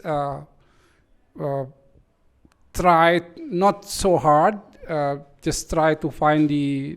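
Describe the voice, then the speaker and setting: Hesitant man, halting English. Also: fairly high pitch, smooth. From Bali, Indonesia. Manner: hesitant, halting second-language English, many fillers. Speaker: visiting speaker at a community meeting.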